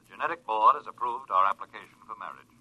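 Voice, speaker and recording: An actor playing an earnest, officious fiancé in a 1950s radio drama, clipped and formal, on an old recording. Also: male voice, on the low side, quick pace, pushed and bright, poor audio. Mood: eager, concerned. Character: earnest, officious fiancé, futuristic director of emotional stability.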